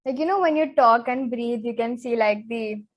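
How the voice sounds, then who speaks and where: Teen girl co-host, slightly high voice, chatty and quick to get worked up. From Zambia. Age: teens. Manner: chatty, animated, says "like" a lot. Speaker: co-host, interviewee. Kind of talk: casual chat podcast.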